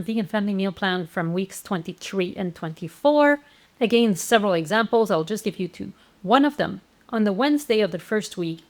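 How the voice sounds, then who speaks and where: A woman walking through meal plans as an instructor, in a casual, conversational manner with frequent fillers and restarts. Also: mid-range voice. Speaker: plant-based meal planning instructor. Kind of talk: casual explanatory walkthrough.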